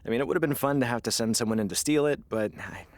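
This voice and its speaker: A man's voice: medium pitch, fast, no echo, natural voice. A man playing a grudging, awkward small-town radio host in a comedic audio drama, dry and hesitant with some stammering. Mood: warming, hesitant.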